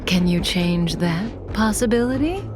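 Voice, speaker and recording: An actress playing a calm, teasing, manipulative woman in a video game, measured and coolly playful. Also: lowish voice. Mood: gently amused. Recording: music behind the voice. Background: game soundtrack music.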